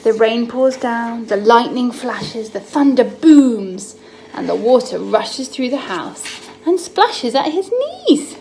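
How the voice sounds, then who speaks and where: A young woman hosts a children's story time in a lightly raised register. She takes her time, stretching words expressively for very young listeners. The homemade recording has some noise behind her. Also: fairly high pitch. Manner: expressive, drawn-out storytelling aimed at small children. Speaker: children's Bible story host. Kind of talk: reading a picture-book story aloud to young children.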